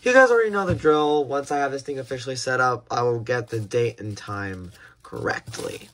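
A man's voice: mid-range voice, animated, a little fast, a bit bright. A young American man chatting casually through a hands-on unboxing, often repeating words and restarting. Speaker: video creator unboxing weather radios. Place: indoors at a desk. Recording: clear background hiss and handling clicks.

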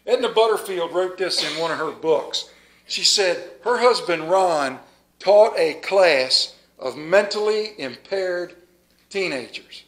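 Middle-aged male pastor, high voice, animated sermon delivery with pauses, small church sanctuary. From United States. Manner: animated, moves about the pulpit, dramatic pauses. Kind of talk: sermon with scripture reading. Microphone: stand mic at pulpit, far camera.

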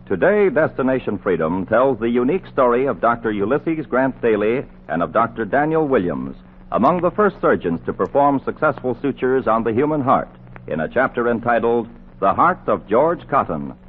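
Formal male radio announcer, animated delivery. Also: mid-range voice, normal speed, slight background noise. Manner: formal, declamatory. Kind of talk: 1940s radio announcing, scripted introduction. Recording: old narrow-band 1940s radio recording.

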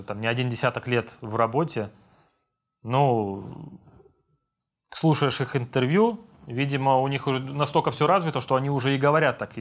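A young man, a voice-over dubber, casually reading out viewer comments and answering them at his desk at home, relaxed and self-deprecating. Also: medium pitch. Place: home room with monitors, indoors.

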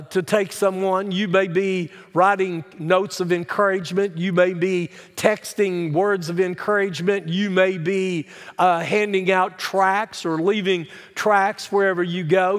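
An older man preaching a sermon as a pastor, earnest and deliberate, stressing key words and posing rhetorical questions. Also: sits high. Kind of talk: sermon, Bible teaching. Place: large church sanctuary, speaking from the pulpit. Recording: reverberant church hall sound.

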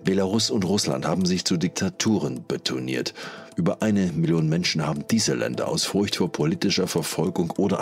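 Low-voiced male podcast host. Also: vocal fry. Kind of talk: scripted podcast moderation and interviewing.

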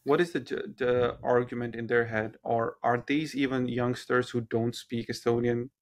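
Man, podcast host, mid pitch, probing questions, rephrases a lot, video call from home. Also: level tone, normal speed. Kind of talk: interview questions. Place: room at home, indoors. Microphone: laptop on a video call.

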